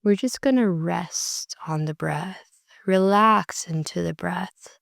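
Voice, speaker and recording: A woman leading a guided meditation, speaking slowly and softly in long, soothing phrases. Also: on the low side, vocal fry, dry recording, studio quality. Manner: slow, soft and soothing, drawn-out phrases.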